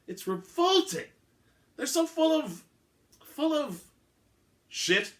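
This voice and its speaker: A man's voice: extremely high pitch, big pitch swings, takes it slowly, voice pushed up, exaggerated voice. A man voicing a suave, haughty centuries-old vampire with formal, pompous phrasing, in a dramatic story reading. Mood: disgusted. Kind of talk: dramatic reading of an all-dialogue short story.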